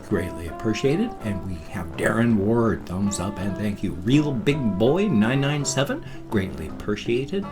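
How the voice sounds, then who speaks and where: An American man in his fifties talks casually to his vlog viewers in an easy, medium-pitched voice. He stretches out his sign-offs and thank-yous slowly. Music plays clearly behind him. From United States. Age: 50s. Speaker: vlogger.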